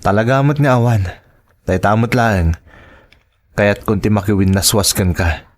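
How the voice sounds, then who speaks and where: A man's voice: fairly low pitch, a little slow, close to an everyday voice. A man with an Ilocano accent acting expressively in a family radio drama. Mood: resentful. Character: male characters in a family and romance drama.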